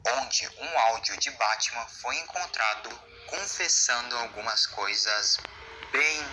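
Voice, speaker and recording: Bright, animated Brazilian man narrating. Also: medium pitch, measured pace, soft background music, rough recording. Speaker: video essay narrator. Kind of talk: scripted explainer narration.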